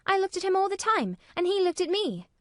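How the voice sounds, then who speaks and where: A woman's voice: very high voice, sing-song, a little fast, dry recording, clean studio sound, voice pushed up. A woman voicing a blunt, self-assured young girl who speaks in a clipped, matter-of-fact way.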